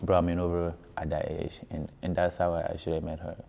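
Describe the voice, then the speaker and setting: A man's voice: sits low, monotone, brisk, gravelly, warm tone. A man from Ghana with a Ghanaian accent telling his story in a casual interview, often repeating words for emphasis. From West Africa, grew up in Ghana. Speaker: musician being interviewed. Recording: echoey hall, dull muffled sound.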